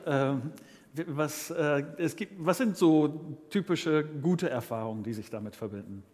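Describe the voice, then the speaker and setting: Male pastor as interviewer, higher register, tentative word-searching delivery, long hall reverb. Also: a little mellow. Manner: hesitant, searching for words, halting phrasing. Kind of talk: informal live interview before a congregation.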